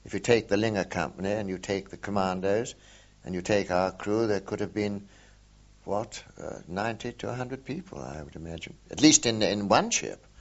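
An older man, a war veteran, recalling events in an oral history interview, hesitant with many pauses and fillers. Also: lowish voice. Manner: hesitant, many ums and uhs, measured. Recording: dull lo-fi recording.

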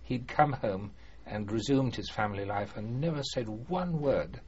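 An older man being interviewed about his past, speaking formally and hesitantly with many pauses and fillers. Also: medium pitch, a little mellow, breathy edge. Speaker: former prisoner of war, interviewee. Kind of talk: oral history interview. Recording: muffled archive recording with faint hiss.

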